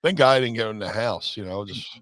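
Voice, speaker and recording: A man's voice: on the low side, animated, quick pace, a bit bright, dry recording. A man, co-hosting a casual, profane chat and rambling with restarts. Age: 50s. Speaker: co-host.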